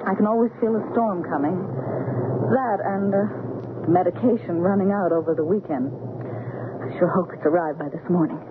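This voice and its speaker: An actress playing a fond, uneasy American wife, with halting, theatrical delivery in a 1970s radio drama. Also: female voice, on the low side, close to an everyday voice. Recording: noisy, phone-like 1970s radio broadcast.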